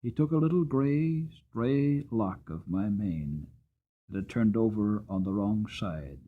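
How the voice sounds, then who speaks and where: A man voicing an old war horse in a storybook, mournful and proud, with solemn, measured, emotive delivery. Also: fairly low pitch, expressive, narrow, tinny audio, pitched below the performer's own voice. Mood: tender, subdued. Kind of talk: dramatic audiobook reading.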